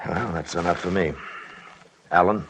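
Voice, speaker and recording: Very deep-voiced expedition leader. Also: male voice, normal speed, slight background noise. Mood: brisk, businesslike. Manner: clipped, matter-of-fact. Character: sober, businesslike male leader of a yeti-hunting expedition. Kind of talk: old-time radio drama narration and dialogue.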